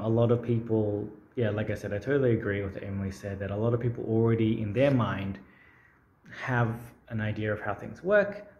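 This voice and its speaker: A man's voice: medium pitch, a little mellow. A man talking casually and unscripted to camera, thoughtful and rambling, with frequent hesitations. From Australia. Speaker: language-learning vlogger. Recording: roomy echo.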